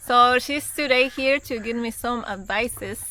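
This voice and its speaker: Slow-talking woman. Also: on the high side, sharp tone. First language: Spanish. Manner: slow, repeats words, enthusiastic. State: pregnant. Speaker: travel vlogger. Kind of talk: casual vlog narration. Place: outdoors at a lodge.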